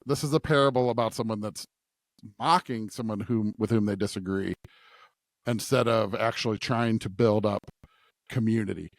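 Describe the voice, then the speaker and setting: A man's voice: medium pitch, no echo. Man podcast host thinking aloud, close dry sound. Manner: thinks aloud, often tags points with "right?". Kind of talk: conversational discussion of scripture.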